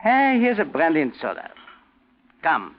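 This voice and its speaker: A desperate German impostor in a 1940s radio thriller. His German-accented speech is formal and nervy, swinging widely in pitch. The old recording is dull, with some noise. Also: huge pitch swings, unhurried. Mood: falsely cordial. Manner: nervous, formal, agitated. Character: desperate, hunted German impostor, man with murder on his conscience. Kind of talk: 1940s radio drama acting.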